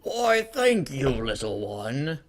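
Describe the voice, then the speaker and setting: A man voicing a kindly, grandly pleased reverend from a story, with drawn-out, exaggerated delivery. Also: mid-range voice, sing-song. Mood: beaming.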